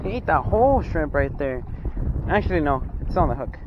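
A young man from Texas, with a slightly high voice, chatting upbeat commentary to his viewers while fishing from a rocky jetty. He exclaims over each catch as it comes in. The sound comes from a body-worn action camera, with loud wind blowing across the mic. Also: big pitch swings, a little fast, lo-fi sound. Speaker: fishing vlogger. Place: outdoors on a rocky jetty by open water. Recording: wind noise outdoors. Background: wind and water.

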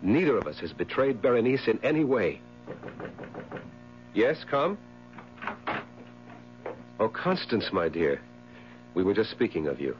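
An actor in a 1970s radio drama playing a tormented, brooding husband, speaking in a grave, formal, melodramatic way. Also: male voice, on the low side, very animated, unhurried, pauses often, soft background music. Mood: earnest. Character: tormented, brooding husband loathing his dying wife. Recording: muffled 1970s radio recording with hum.

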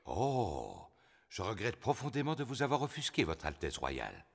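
A man's voice: lowish voice, huge pitch swings, normal speed, creaky, breathy edge, exaggerated voice. A man voicing a hearty, theatrical father pig in a French cartoon dub. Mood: mock solemn. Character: jolly, boastful father pig.

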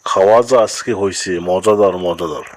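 A man's voice: deep voice, a little fast, natural voice, poor audio. A man playing a sly, well-fed fox in a cartoon moral story, chatting in a folksy, politely curious way. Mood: sated, content.

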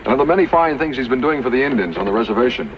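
An actor playing a courteous, reassuring cavalry lieutenant in a 1950s radio western, polite and formal. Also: male voice, mid-range voice, expressive, racing, natural voice. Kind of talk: 1950s radio drama acting. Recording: muffled, hissy old radio recording.

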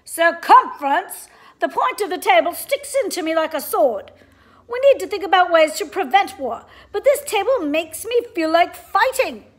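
A woman's voice: extremely high pitch, lively intonation, normal speed, voice pushed up. A woman voicing a commanding, troubled male king from a storybook, declaiming emphatically. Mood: annoyed, urgent.

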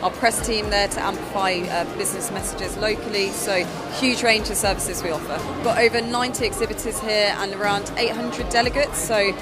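A woman gives an on-camera interview as a spokesperson in a large exhibition hall. Her pitch is average, and a loud crowd and loud music surround her voice. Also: steady tone, brisk, hardly any pauses. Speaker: event organiser spokesperson.

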